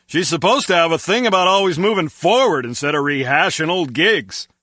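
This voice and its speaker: Unbothered male game henchman, matter-of-fact, highish and forceful, close dry recording. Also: expressive, brisk, no room sound. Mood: casual, matter-of-fact. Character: casual, unbothered male henchman on base staff. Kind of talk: video game dialogue.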